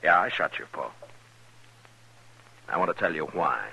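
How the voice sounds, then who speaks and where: Very deep, stern frontier marshal. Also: male voice, animated, a little slow, vocal fry, pushed and bright, breathy edge, heavily acted. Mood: grave, frank. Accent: American. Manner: terse, authoritative. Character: stern, steady frontier marshal of the Old West. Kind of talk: 1950s radio western acting. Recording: noisy old radio drama recording.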